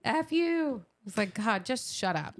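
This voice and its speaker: A woman's voice: on the high side, sing-song, measured pace, no room sound. An American woman co-hosting a podcast, chatting informally with blunt, agreeable interjections. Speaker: podcast co-host. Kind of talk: casual reactive chat.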